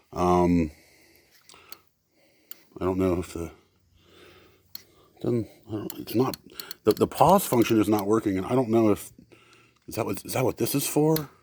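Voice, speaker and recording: Low-voiced man, hesitant casual demo. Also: darkish tone, no echo. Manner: hesitant, halting, thinking aloud. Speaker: seller demonstrating used equipment. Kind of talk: casual unscripted demonstration.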